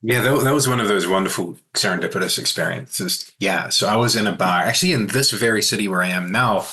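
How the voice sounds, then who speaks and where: Middle-aged New Zealand man, low voice with a bright edge, easygoing and self-deprecating. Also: fast talker. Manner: relaxed, self-deprecating storytelling. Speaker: author guest. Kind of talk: conversational interview.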